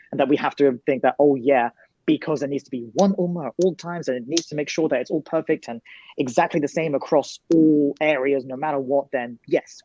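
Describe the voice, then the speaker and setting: A man's voice: medium pitch, a little mellow, no echo. A man making long, articulate, wry points in a panel discussion. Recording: dry, muffled lo-fi sound.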